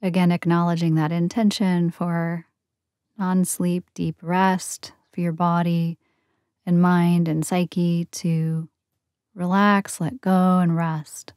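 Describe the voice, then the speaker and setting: A woman leading a guided meditation in a soothing, gentle, unhurried manner with long pauses between phrases. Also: fairly low pitch, flat delivery, dry recording, clean studio sound. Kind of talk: guided yoga nidra meditation.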